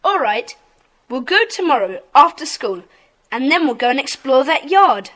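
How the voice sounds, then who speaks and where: A woman playing a decisive schoolboy club leader with a brisk, take-charge manner in a children's audio drama. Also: high-pitched, sing-song, a bit bright. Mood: keen.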